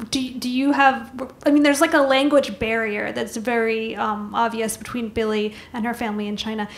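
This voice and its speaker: Woman moderator, higher voice, lots of ums and restarts in her questions. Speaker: festival moderator. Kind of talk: onstage interview questions. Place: music hall stage before an audience.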